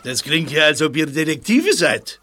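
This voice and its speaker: An opinionated gentleman in a radio play, acted by a man. His voice is fairly high and forceful, pronouncing things emphatically over faint background noise. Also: big pitch swings, normal speed. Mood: curious. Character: brisk, opinionated adult gentleman, club president. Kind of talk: German audio drama acting.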